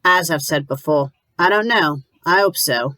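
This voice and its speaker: A woman voicing a brisk, bossy middle-aged landlady who talks firmly and sternly. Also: on the low side, lively intonation, a bit bright, dry recording. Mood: coaxing.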